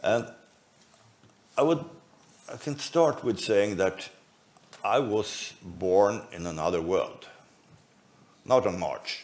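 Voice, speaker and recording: A man in his seventies from northern Sweden, speaking English with a Swedish accent in a measured, pausing conference talk with dry understatement. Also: fairly low pitch, a little mellow.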